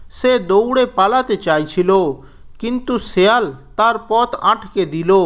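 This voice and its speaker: A man with a fairly high voice tells a children's story. He reads from a script at a slow, deliberate storybook pace. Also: fairly bright tone.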